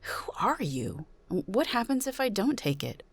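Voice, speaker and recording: A woman's voice: mid-range voice, big pitch swings, unhurried, breathy edge, dry recording, voice pushed up, full character voice. A woman playing a wary, sarcastic ordinary woman in a supernatural suspense audio drama, with a skeptical, informal delivery. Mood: wary, questioning.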